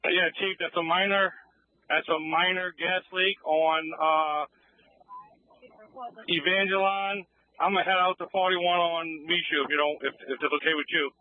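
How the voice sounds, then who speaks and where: Higher-voiced firefighter on a tinny radio. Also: male voice, steady tone, moderate pace, no echo. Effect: two-way radio. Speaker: firefighter in the field. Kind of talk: informal operational radio reports. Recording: phone-like fire scanner radio audio.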